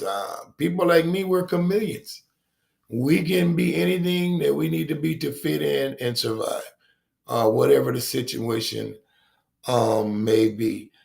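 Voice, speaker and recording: A man around sixty with a deep voice, telling his recovery story as a guest in a reflective, halting way with many pauses and ums. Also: gravelly, a little mellow. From Rochester, New York, USA.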